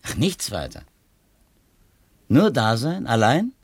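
An actor playing a reserved, uneasy publishing editor from the city in a naturalistic radio drama, hesitant and pensive. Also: male voice, mid-range voice, huge pitch swings, slow, breathy edge, no room sound, clean studio sound, heavily acted. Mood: questioning.

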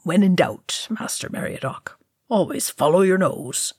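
A woman voicing a gentle, wise old male wizard in a storybook, hushed, breathy and measured. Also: fairly low pitch, animated, dry recording, clean studio sound. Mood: kindly.

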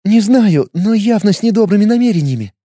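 Male narrator as anxious young woman: high, dark-toned, jittery delivery, dry close sound. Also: lively intonation, no room sound. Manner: hurried, nervous. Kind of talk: audiobook character voice.

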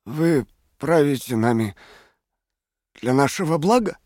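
A halting, high-voiced male prisoner. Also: expressive, darkish tone, no echo, clean studio sound. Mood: weary, questioning. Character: weakened male prisoner, questioning, stubbornly clinging to reason. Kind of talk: dramatic audio play acting.

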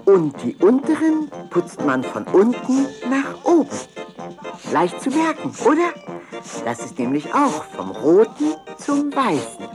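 A woman's voice: mid-range voice, huge pitch swings, measured pace, over music. A woman playing a spirited, clever animal who teaches tooth care in a children's audio play, instructive and emphatic. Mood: cheerful teaching.

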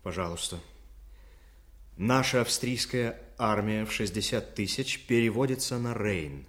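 A man's voice: lowish voice, lively intonation, a little slow, a bit bright. A man playing a scheming Austrian statesman, commanding, calculating and emphatic, in a radio drama. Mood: cold, calculating. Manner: insistent, emphatic. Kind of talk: Soviet radio drama acting.

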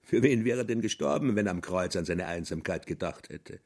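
Ein Mann spricht einen trockenen, erdigen Erzähler in einem Hörspiel. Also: male voice, low voice, moderate pace, a little mellow. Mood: wry commentary. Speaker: narrator. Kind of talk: literary radio play narration.

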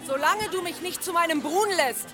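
A woman's voice: extremely high pitch, moderate pace, fairly bright tone, soft background music. An actress playing a fierce pagan village mother in an audio drama, blunt and challenging. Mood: defiant, accusing.

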